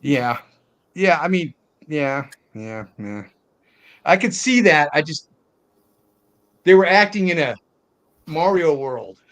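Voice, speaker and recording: A man's voice: on the high side, expressive, takes it slowly, pauses often, fairly bright tone. A man leading a casual film review panel, talking hesitantly and repeating himself.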